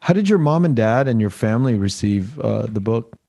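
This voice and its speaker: A man's voice: medium pitch, expressive, fairly quick, vocal fry, dark tone, no room sound. A man hosting an interview, asking long, rambling questions in a casual tone. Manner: rambling, loosely strung questions. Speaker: podcast host.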